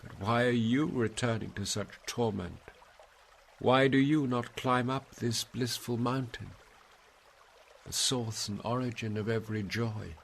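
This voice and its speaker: A man's voice: mid-range voice, measured pace, gravelly, voice pushed up. A man voicing the grave ghost of an ancient Roman poet, guiding with solemn, oratorical delivery in a story reading. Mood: solemn, proud. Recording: noisy, hissy background.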